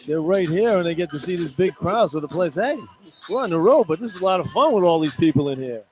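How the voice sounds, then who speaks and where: A man with a fairly high voice offers color commentary on basketball. He talks in a folksy, informal way over a noisy live radio feed from an arena. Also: expressive, quick pace. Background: clear arena noise.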